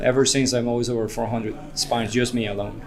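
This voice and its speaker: A man, a spine neurosurgeon answering questions in an interview at a conference, speaking in a relaxed, fluent way. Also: mid-range voice, a little fast, music in the background. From Venezuela. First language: Spanish.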